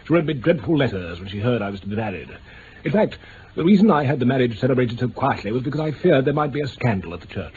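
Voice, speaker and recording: An actor with an upper-class English accent playing a stiff, haughty lord, hesitant and condescending, in mid-century radio drama style. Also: male voice, medium pitch, big pitch swings, fast, darkish tone, natural voice. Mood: embarrassed. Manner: hesitant, pompous, formal. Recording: dull, hissy old radio recording.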